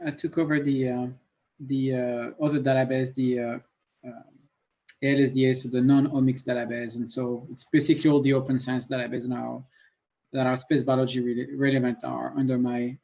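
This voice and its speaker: A man with a French accent, a native French speaker, giving an online science presentation with many fillers and pauses. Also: medium pitch, steady tone, vocal fry, darkish tone. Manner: thoughtful, self-deprecating, many fillers. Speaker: guest lecturer. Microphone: computer mic on a video call. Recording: muffled lo-fi call audio.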